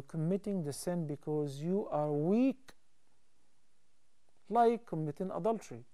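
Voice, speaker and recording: A man in his thirties, a studio TV scholar, answering callers' questions with calm, measured explanations into a lapel mic. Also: fairly high pitch. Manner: calm, measured, explains step by step with hand gestures. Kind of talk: studio call-in Q&A advice.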